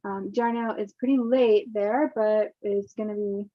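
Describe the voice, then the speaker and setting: A woman hosting an online session, introducing a guest in a halting way with many fillers, over a video call. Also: mid-range voice, steady tone, dry recording. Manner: warm, halting, lots of fillers. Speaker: festival session host. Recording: dull, dry lo-fi call audio.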